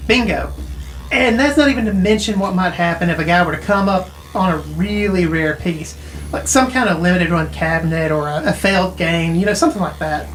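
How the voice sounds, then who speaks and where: A woman's voice: lowish voice, brisk, natural voice, low-quality recording. An actress playing a tech-savvy woman who explains things informally and hedges as she goes, in naturalistic audio drama dialogue. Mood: knowledgeable. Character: tech-savvy, casual woman who knows retro arcade games. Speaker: character in a mystery audio drama.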